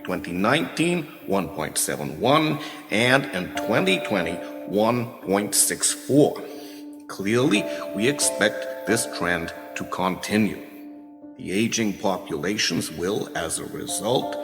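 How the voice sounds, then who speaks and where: A man playing a professor in a radio play, lecturing soberly in a formal, measured, didactic way. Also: fairly low pitch, expressive. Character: male professor soberly lecturing on a grave report. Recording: very echoey hall, music clearly behind.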